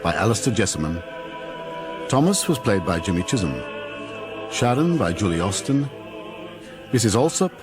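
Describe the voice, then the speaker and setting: A man's voice: on the low side, big pitch swings, normal speed, long pauses. A man reading scripted closing credits as a radio drama announcer. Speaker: continuity announcer. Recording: prominent music behind the voice.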